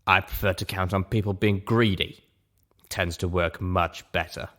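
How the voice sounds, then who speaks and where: Guy doing tough merc lady boss in an audiobook, deep voice, gruff, all business. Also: fairly even intonation, normal speed, fairly bright tone. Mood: shrewd, businesslike. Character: tough, shrewd female winged mercenary leader.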